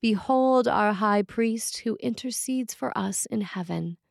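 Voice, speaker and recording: A woman priest reading a scripted sermon in a measured, deliberate and solemn manner. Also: a little high, creaky, no room sound, clean studio sound. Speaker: Episcopal priest reading a sermon written by a colleague. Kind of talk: read sermon, scripted narration.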